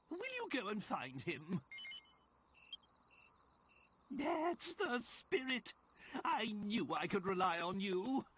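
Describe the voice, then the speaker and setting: Casting: pompous old cursed king for a game; husky, slow, hugely animated, indignant and theatrical. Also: sits high, heavily acted. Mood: lamenting, then pleased. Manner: theatrical, old-fashioned exclamatory speech. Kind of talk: video game character acting.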